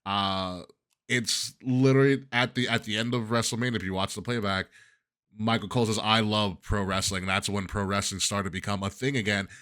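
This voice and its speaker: Male podcast co-host, low and bright voice, easy laughs, thoughtful takes. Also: fairly even intonation, fairly quick. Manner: laughs often, reflective commentary. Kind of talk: casual chat.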